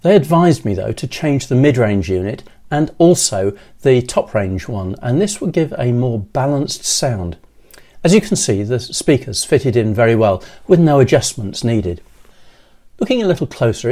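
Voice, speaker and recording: An older man in his sixties giving scripted how-to narration in a measured, methodical way, as a presenter. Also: medium pitch, mellow. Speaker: presenter of an electronics how-to video.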